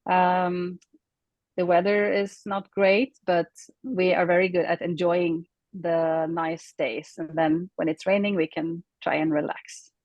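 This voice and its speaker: A Swedish woman in her thirties, a native Swedish speaker, speaking English casually as a guest on a video call and often pausing to search for words. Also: lowish voice, flat delivery, dry recording, dull audio. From Sweden. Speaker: interview guest.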